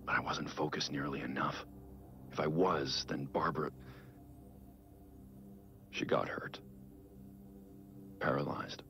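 Game role: world-weary vigilante, middle-aged, bottomless low register, slow and grave, music under. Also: male voice, steady tone, bright, forceful tone, full character voice. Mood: despairing, weary. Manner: measured, grave, deliberate pauses. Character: brooding, world-weary male vigilante dictating private audio logs. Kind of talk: dramatic scripted game monologue.

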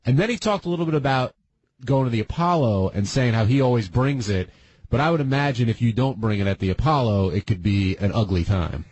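A man with a husky, mid-pitched voice hosts a radio chat. He comments conversationally and steers with questions. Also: animated, a little fast, darkish tone, no room sound, lo-fi sound. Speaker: radio wrap-up show host.

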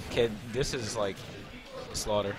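Young guy casually calling a fighting game match, lowish voice, loud music behind. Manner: casual, laughs often, answers chat between plays. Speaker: esports commentator. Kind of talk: solo game commentary, chatting with stream chat.

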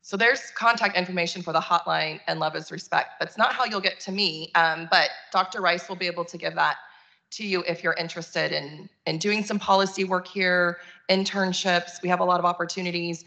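A woman's voice: fairly low pitch, steady tone, brisk, creaky, bright, forceful tone, rough recording. A woman giving a conversational, earnest keynote talk over a video call. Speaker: keynote speaker, nonprofit chief executive.

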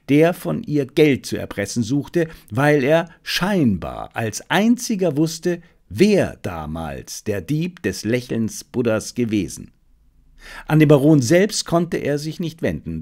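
A man voicing a confident, methodical detective who lays out his reasoning deliberately and assertively in an audiobook. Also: medium pitch, a little mellow. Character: confident, methodical male detective explaining a solved case. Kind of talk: dramatised audiobook reading.

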